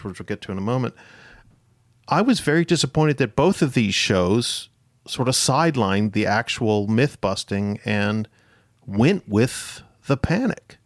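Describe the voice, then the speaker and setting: A man's voice: medium pitch, no echo, studio quality. A man hosting a history podcast, interviewing conversationally in a wordy, professorial but informal way, often interrupting himself.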